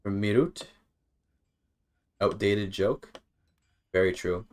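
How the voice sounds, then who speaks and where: A man's voice: fairly low pitch, fairly even intonation, slow, a little mellow. A man giving casual live gameplay commentary, rambling and repeating words as he thinks aloud. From Canada. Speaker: game streamer.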